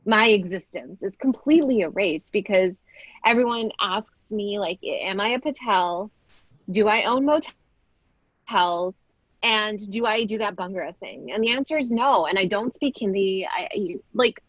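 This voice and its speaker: A woman's voice: mid-range voice, no room sound. Woman, Telugu first language, panelist, frank, emphatic, dry, dull lo-fi call audio.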